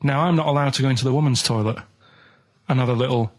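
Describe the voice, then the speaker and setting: A man's voice: mid-range voice, steady tone, quick pace. A man on a radio show, rambling casually in an earnest, matter-of-fact way.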